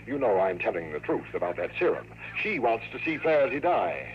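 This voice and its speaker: Smug, formal villain acted by a man, 1950s radio drama, lively swooping delivery, thin crackly recording. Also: mid-range voice, a little fast, highs cut off, lightly acted. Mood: urgent. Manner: formal, smugly polite. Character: scheming, power-hungry male fugitive time traveler, coldly courteous villain.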